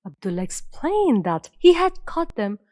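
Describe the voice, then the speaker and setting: Woman, storybook narrator, fairly high voice, animated pitch, dry recording. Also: unhurried, no echo.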